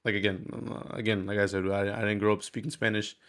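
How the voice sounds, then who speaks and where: Young man, low smooth voice, casual video diary, candid, rambling, slangy with swearing. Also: flat delivery, quick pace, a bit bright. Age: 20s. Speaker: vlogger.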